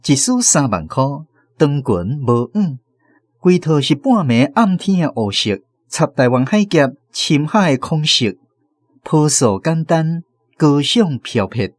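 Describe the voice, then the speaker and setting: Animated Taiwanese male storyteller. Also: on the high side, unhurried, no room sound. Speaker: narrator. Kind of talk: scripted radio drama story narration. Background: faint music.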